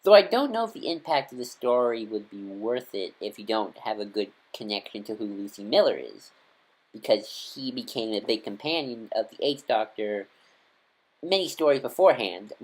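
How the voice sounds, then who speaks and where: A man's voice: fairly low pitch, expressive, moderate pace, low-quality recording. A man hosting a casual fan review video, talking in a rambling, eager way with many fillers and restarts. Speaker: video host.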